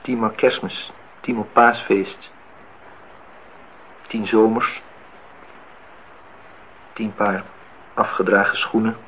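Reflective disillusioned man, lowish voice, measured phrasing, radio drama, muffled vintage recording. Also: little pitch movement. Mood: somber, musing. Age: 30s. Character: disillusioned, reflective man musing on truth and love. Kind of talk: Dutch radio play acting. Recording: dull, noisy old radio play recording.

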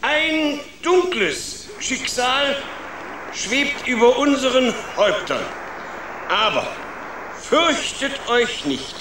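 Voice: high-pitched, very animated, slow pace, noisy background, exaggerated voice. Pompöser alter Rattenanführer, hohe Stimme. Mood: pompous. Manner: grandiose, declamatory, theatrically indignant. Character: pompous, self-important old male leader of sewer rats. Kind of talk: children's radio play acting.

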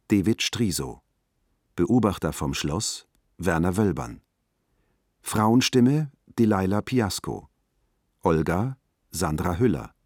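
A male announcer reads the credits formally and very slowly. His voice is very deep with a husky edge. The sound is dry and close. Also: darkish tone, no echo. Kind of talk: reading the cast list.

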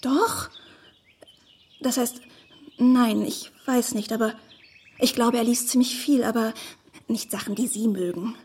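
A woman's voice: on the high side, fairly even intonation, a little slow, pauses often, darkish tone, husky. An actress playing a naive, eager and deferential young woman in a radio play, artless and hesitant in her speech. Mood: hesitant, earnest.

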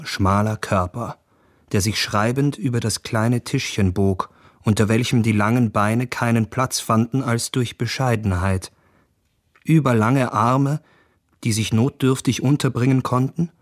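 A male actor plays an earnest young foreigner in a literary radio drama. The voice is medium in pitch with a husky grain, intent and absorbed. The recording is close and dry. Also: no echo, close to an everyday voice.

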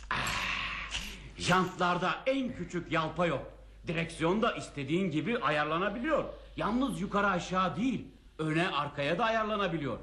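High-pitched, pushy salesman. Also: sing-song, moderate pace, bright, forceful tone, heavily acted. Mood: eager, cheerful. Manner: pushy, ingratiating, repeats himself. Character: eager, fawning bicycle shop salesman. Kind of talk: radio theatre acting. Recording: roomy, echoing radio play recording.

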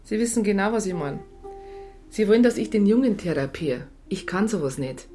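An actress playing a disillusioned police psychologist in a radio drama, blunt and sardonic. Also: female voice, medium pitch, animated, a little fast, dark tone, soft background music, lightly acted. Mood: resigned, sardonic. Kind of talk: naturalistic radio drama dialogue.

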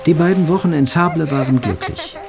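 An actor playing a sober literary narrator in a radio drama, reading scripted third-person prose with a creaky voice. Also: male voice, on the high side, animated, a little fast, music in the background. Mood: sober, reflective.

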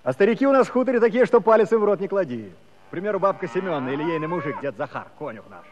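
An actor playing a gossipy, lively village Cossack, narrating a comic audio drama in a chatty, folksy way. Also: male voice, high-pitched, racing, dull audio. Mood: amused, gossipy.

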